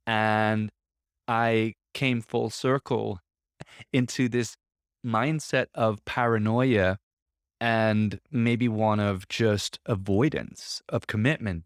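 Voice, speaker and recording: A man's voice: fairly low pitch, no echo, clean studio sound. A man in his thirties hosting a solo podcast from his office, talking in a reflective, conversational way, a little congested from a sore throat. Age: 30s. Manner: conversational, reflective, self-coaching tone. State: slightly congested, throat a bit off. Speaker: podcast host.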